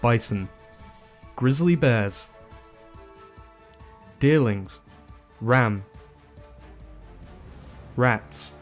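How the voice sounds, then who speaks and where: A man's voice: medium pitch, expressive, very slow, long pauses. A man narrating a scripted video, reading out items one by one. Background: background music.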